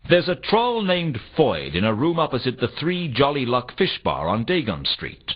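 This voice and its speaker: An actor playing a world-weary, sardonic private detective in a noir video game, with a wry, deadpan, pressing delivery. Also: male voice, medium pitch, moderate pace, slightly rough. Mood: urgent, insistent. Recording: dull, muffled old console game audio.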